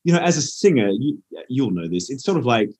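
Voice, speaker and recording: A man's voice: mid-range voice, very animated, brisk, a little mellow. A man in his thirties, raised in Australia, chatting modestly as a podcast guest, with many "you know" fillers. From Scotland, raised in Western Australia. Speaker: guest, opera singer and nutritionist. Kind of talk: relaxed conversational interview.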